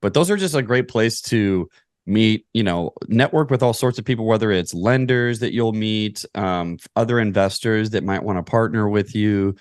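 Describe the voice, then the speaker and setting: A man's voice: on the low side, normal speed, dry recording, clean studio sound. An American man from Kentucky answering interview questions as a podcast guest, explaining things methodically in a conversational way. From Kentucky, United States. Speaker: podcast guest, real estate investor.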